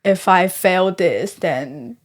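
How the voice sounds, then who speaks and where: A woman's voice: on the low side, lively intonation. Earnest young woman from Taiwan. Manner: thoughtful, earnest, pauses to find words. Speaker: guest researcher. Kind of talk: conversational interview.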